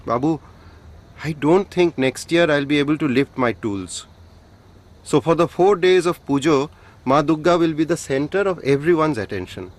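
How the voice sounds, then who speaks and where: A man acting as an elderly, devout craftsman in a radio play, with an Indian accent. His voice sits fairly high, and he speaks humbly and earnestly, with weary deference. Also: expressive, normal speed, lightly acted. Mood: wistful, weary. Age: older.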